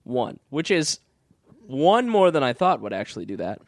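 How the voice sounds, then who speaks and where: A man's voice: mid-range voice, sing-song, normal speed, no room sound. A young man with a cold and a slightly phlegmy voice, co-hosting a casual podcast with jokes, reading news aloud now and then. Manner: laid-back, joking, reads news items aloud. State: getting over a cold, phlegmy. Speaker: podcast co-host. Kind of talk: casual chat.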